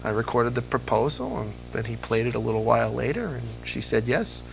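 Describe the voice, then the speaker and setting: Gravelly-voiced man telling eager stories. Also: mid-range voice, sing-song, brisk, soft background music, low-quality recording. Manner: enthusiastic storyteller, restarts often. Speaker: interview host. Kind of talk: casual nostalgic interview chat.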